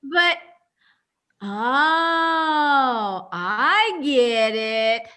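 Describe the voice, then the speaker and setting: Casting: actress as curious, friendly woman for radio play, high voice, unhurried and animated. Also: heavily acted. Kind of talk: reader's theatre radio play acting.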